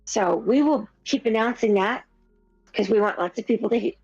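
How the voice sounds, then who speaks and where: A woman co-hosting a casual podcast, halting with many fillers and quick to laugh. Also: fairly high pitch, creaky, a little mellow, husky. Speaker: podcast co-host. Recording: dull lo-fi sound with faint music.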